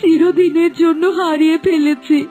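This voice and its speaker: A devoted young sweetheart with a very high voice, played by a woman. She pleads in a melodramatic cartoon manner, with music underneath. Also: level tone, moderate pace, dark tone. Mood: grief-stricken.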